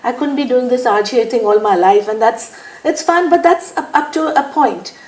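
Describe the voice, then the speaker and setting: A woman's voice: fairly high pitch, normal speed, darkish tone, reverberant. An older woman from India talking at an easy pace in an interview, laughing often and using lots of fillers. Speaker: interviewee, businesswoman. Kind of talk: relaxed conversational interview.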